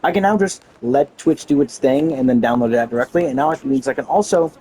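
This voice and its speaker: A man's voice: mid-range voice, fairly quick, warm tone, slight background noise. A man chatting casually on a game livestream, rambling and cutting himself off with mildly exasperated, slangy grumbling. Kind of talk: casual rambling stream chat.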